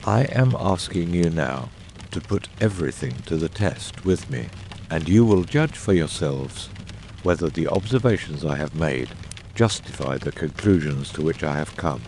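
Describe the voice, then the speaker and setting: A man voicing a grave, theatrical, masterful detective in a dramatised audiobook, with measured, eloquent and commanding delivery. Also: extremely low pitch, animated, darkish tone, a little hiss or hum, voice pushed down.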